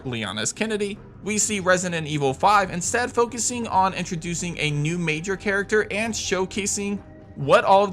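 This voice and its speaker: A man's voice: on the high side, fairly bright tone. A man hosting a video countdown, giving scripted commentary on video games. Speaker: video host.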